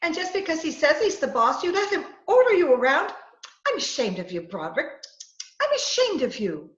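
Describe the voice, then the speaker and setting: A woman's voice: sits high, big pitch swings, moderate pace, highs cut off. A woman playing a flustered, wheedling captive in a 1940s-style radio comedy, chattering with stammers and dithering, over a roomy video call. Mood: coaxing. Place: remote video-call performance.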